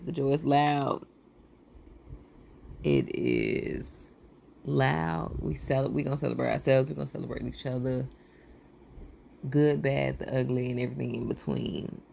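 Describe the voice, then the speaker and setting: A woman hosting a motivational podcast, talking in a loose, rambling way with a raspy, froggy voice, hoarse and short on sleep. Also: sits low, a little mellow, a little hiss or hum. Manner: loose, rambling encouragement with casual slang. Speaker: podcast host.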